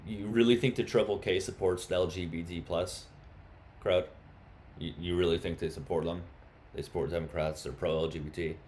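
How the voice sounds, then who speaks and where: A man's voice: low-pitched, fairly even intonation, moderate pace, darkish tone, a little hiss or hum. A man hosting a live stream, chatting casually with viewers and arguing insistently with callers. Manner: chatty, turns heated and insistent in arguments. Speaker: livestream host.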